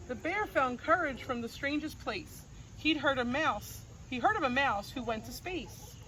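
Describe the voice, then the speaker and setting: A woman reading a rhyming picture book aloud in a gentle sing-song cadence, recorded outdoors on a farm. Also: high-pitched, expressive, unhurried. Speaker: storybook reader. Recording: noisy outdoor recording with crickets.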